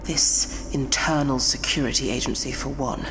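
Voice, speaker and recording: An actress playing a composed, calculating female prime minister who speaks in a measured, politely evasive way. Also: rumbling low, steady tone, long reverb tail, background noise. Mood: cool, guarded. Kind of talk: audio drama acting.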